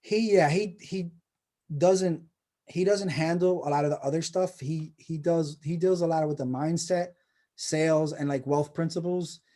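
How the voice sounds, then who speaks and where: Guy hosting a video call, kinda rambly and encouraging, mellow dark voice. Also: a little high, steady tone, a little slow. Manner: rambling, encouraging, many restarts. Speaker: host of a personal branding course. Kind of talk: casual video call chat.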